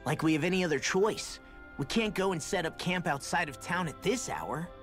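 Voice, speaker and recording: A man's voice: high voice, lively intonation, moderate pace, fairly bright tone. An actor playing an easygoing, practical young male adventurer in a video game, casual and colloquial. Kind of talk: video game cutscene acting. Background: music.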